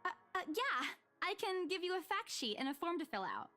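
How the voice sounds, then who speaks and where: A young female estate agent with a high, polite voice, as a man puts her on. She stammers and trips over her words whenever she is pressed. Faint music sits under this visual novel reading. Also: big pitch swings, brisk, bright, forceful tone, full character voice. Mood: flustered.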